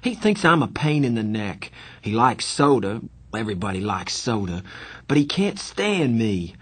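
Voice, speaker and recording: A man's voice: a little high, animated, slow pace, pitched above the performer's own voice. A man voicing a bitter, hot-tempered teenage boy in an audiobook, with emphatic, defensive outbursts.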